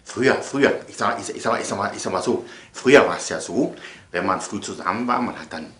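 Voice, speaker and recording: A man's voice: lowish voice, expressive, a little fast, fairly bright tone. A man hosting a comedic monologue to viewers, theatrical and repeating phrases for emphasis. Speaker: host of an online show. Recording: echoey room sound.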